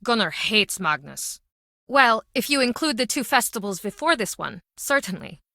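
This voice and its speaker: A woman's voice: fairly high pitch, big pitch swings, moderate pace, gravelly, sharp tone, no room sound, clean studio sound, exaggerated voice. A woman playing a guarded, bitter small-town pub owner in a video game, speaking in a curt, brooding, dramatic way. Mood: terse. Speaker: game character.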